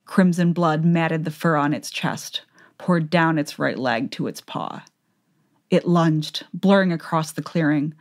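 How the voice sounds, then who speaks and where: A woman narrates a fantasy story as in a dramatic audiobook. Her voice is pitched low. The delivery is scripted storytelling. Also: a little slow.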